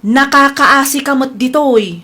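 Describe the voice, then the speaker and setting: A pushy, scheming sister-in-law. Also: female voice, high voice, measured pace, sharp tone. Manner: insistent, coaxing. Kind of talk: radio drama acting.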